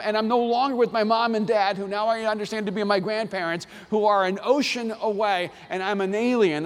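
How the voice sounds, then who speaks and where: A man's voice: high-pitched, big-room sound. A man from El Salvador whose first language is Spanish, preaching a sermon, reading scripture and then telling his own story in an earnest, personal way.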